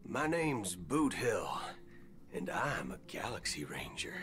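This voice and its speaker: An actor playing a swaggering, folksy cyborg cowboy ranger in a video game, with a drawling, teasing manner. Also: male voice, deep voice, big pitch swings, slow pace, fairly bright tone, slight background noise, heavily acted. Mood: cocky, teasing. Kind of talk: video game character acting.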